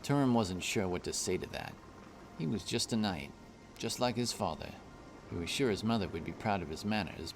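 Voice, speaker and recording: Deep-voiced male fantasy narrator. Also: a little hiss or hum. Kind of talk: scripted fantasy story narration.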